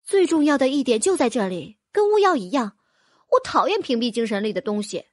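Woman as a calm young heroine. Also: on the high side, brisk, no room sound, studio quality. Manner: calm, explaining.

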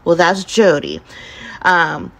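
A woman's voice: fairly low pitch, big pitch swings, slow pace. A woman podcast host telling a casual first-person story in a chatty way, acting out remarks people made. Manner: chatty, acts out quoted remarks.

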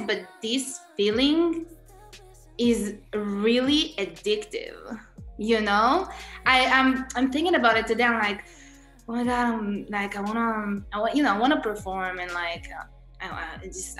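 Young Israeli woman, Hebrew native, slow soft-spoken interview answers, roomy webcam sound. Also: fairly high pitch, faint music behind. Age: young adult. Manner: soft-spoken, with frequent ums and laughs. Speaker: guest singer. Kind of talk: casual interview answers over video call.

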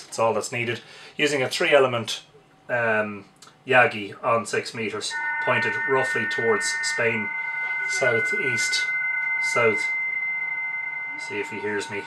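An Irish man in his forties giving unhurried live commentary as he operates a radio at home, muttering to himself as he works. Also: mid-range voice. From Ireland. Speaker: amateur radio operator demonstrating. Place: small home radio room, indoors. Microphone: camera a couple of metres away. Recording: distant camera mic, loud background hiss.

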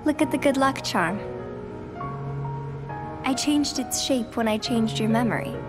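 A woman voicing a gentle, sad teenage girl in a video game, speaking softly and hesitantly. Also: on the high side, a little slow, close to an everyday voice. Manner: soft, hesitant, wistful. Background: music.

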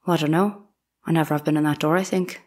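Actress as young maid on the witness stand, even monotone delivery, terse, plain, deferential, guarded, period courtroom drama. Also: female voice, low-pitched, quick pace. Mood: plain, unsure. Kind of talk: dramatic reading of an 1890s trial transcript.

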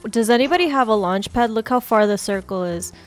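A woman's voice: on the high side, brisk. A young woman chatting casually with her teammates as she plays a video game. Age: young adult. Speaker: video creator playing with teammates. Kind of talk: casual gaming chat.

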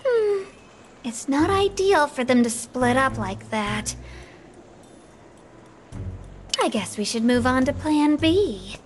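A woman's voice: sits high, lively intonation, unhurried, faint music behind. A woman voicing a playful, scheming young resort hostess in an anime game, sugary and theatrical. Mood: sly, musing.

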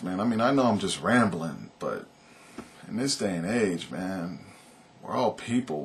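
Man in his thirties, low loud voice, earnest rambling vlogger, webcam in a small room with faint hiss. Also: creaky. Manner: rambling, earnest, leans on "man" and "you know". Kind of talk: casual opinion vlog to camera. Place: small indoor room, seated on couch.

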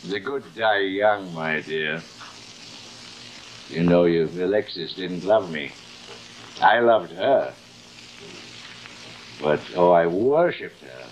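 A man's voice: low voice, animated, slow pace, pauses often, rough recording. A middle-aged actor playing a vain, cruel, drunken stage-actor father in a grandiose, slurred, taunting way, in theatrical 1950s television style. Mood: menacing. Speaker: actor in a television horror drama. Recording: hissy old 1950s television soundtrack.

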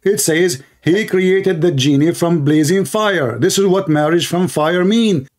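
A man's voice: high-pitched, a little slow, a little mellow. A man hosting an online debate, combative and mocking, repeating his demands. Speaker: debate host.

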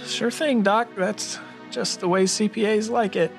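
A man's voice: sits high, steady tone, unhurried, vocal fry. A man playing an eager, folksy accountant with a theatrical manner in an old-time radio drama. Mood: chipper. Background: music under the voice.

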